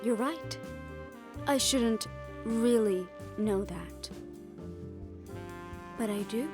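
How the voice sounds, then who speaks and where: A woman performs a worldly hotel manager from a criminal underworld audio roleplay. She takes her time with every phrase, poised and gracious, as if she knows everything. Music plays clearly underneath her voice. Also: a little high. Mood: businesslike, knowing. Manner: unhurried, composed, gracious and knowing. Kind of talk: roleplay audio drama acting.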